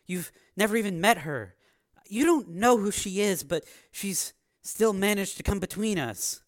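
A man's voice: high voice, animated, measured pace. A man voicing a tough, devoted young woman who speaks bluntly and earnestly in audiobook dialogue. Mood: hurt, subdued.

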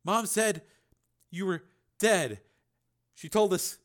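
A man's voice: high voice, slow pace, a bit bright, voice pushed up. A man voicing a beaten, sedated son, weak and apologetic, with thick, slurred speech in an audiobook drama. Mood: groggy, sorry.

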